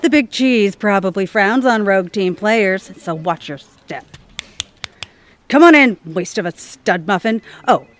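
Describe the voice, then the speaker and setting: An actress plays a scheming woman boss in a radio comedy. Her voice is middling in pitch, and she is condescending and cutting, handing out threats like orders. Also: expressive, a little slow, lightly acted. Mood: smug, suspicious. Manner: imperious, cutting. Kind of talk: scripted audio theatre comedy acting.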